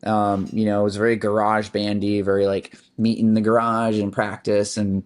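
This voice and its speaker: A man's voice: lowish voice, normal speed. A man, a band's singer, chatting casually as a podcast guest, rambling along with lots of "like" and "um". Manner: relaxed and rambling, with frequent "like" and "um". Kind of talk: casual interview chat.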